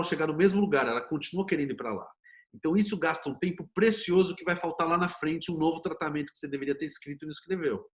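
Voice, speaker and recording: Homem brasileiro de voz levemente aguda. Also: male voice, on the high side. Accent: Brazilian. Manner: explains with examples and acted-out quotes, rhetorical questions. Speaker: interview guest, series producer and screenwriter. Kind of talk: conversational interview, anecdotal storytelling.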